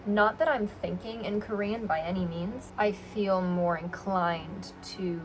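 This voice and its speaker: A woman's voice: medium pitch, steady tone, measured pace, background noise. A young American woman talking casually to her camera, rambling with lots of fillers and restarts. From United States. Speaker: vlogger. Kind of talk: casual vlog talk to camera. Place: indoors, a rented home room.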